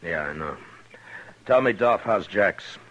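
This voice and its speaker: An actor playing a stern, weary old-west marshal, terse and grave, in 1950s radio western style. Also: male voice, low-pitched, expressive, a bit bright, muffled recording, full character voice. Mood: weary, subdued. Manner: terse, grave, halting when moved. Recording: old radio recording with faint music.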